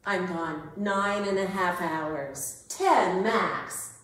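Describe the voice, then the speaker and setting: A woman's voice: fairly low pitch, extremely slow, a bit bright. A woman playing a weary, insistent pet owner in a staged reading, firm and exasperated in a plain-spoken way. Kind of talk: theatrical staged dramatic reading. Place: arts centre performance space. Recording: echoey hall, distant microphone.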